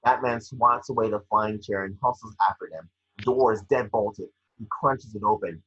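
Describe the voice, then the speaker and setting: A man with a husky voice reading scene descriptions at a table read, sometimes stumbling over the script. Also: lowish voice, normal speed, fairly bright tone, muffled recording.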